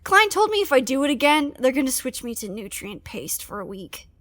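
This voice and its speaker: A woman's voice: high voice, very animated, fairly quick, a bit bright. An actress playing a small, hot-tempered female field agent, venting sulkily and indignantly in an audio drama monologue. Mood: sulky, indignant. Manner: sulky, sweary, indignant venting.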